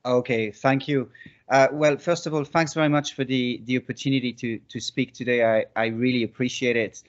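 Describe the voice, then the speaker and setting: A man's voice: mid-range voice, steady tone, fairly quick. A man in his forties with a French accent, giving a conference talk over a video call, hesitant with many restarts. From France. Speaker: conference speaker. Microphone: video call laptop mic. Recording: dull lo-fi video call audio.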